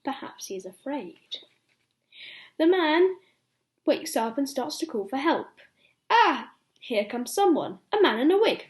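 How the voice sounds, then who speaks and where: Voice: high voice, big pitch swings, a little slow, pauses often. A young reader reading a children's bedtime story aloud, expressively, with explanatory asides to little listeners.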